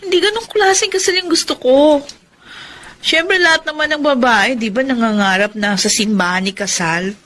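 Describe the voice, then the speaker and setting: An actress with a Filipino accent playing an ambitious, hesitant young factory worker in a radio drama, speaking in an emphatic, conversational way. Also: female voice, high-pitched, moderate pace, fairly bright tone. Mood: exasperated, doubtful. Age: young adult. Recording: faint background noise.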